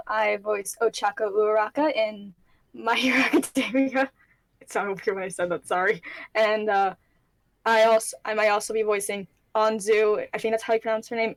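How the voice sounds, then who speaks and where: A woman's voice: medium pitch, no room sound, rough recording. A woman who is a voice actor on a panel, introducing herself casually and a little hesitantly.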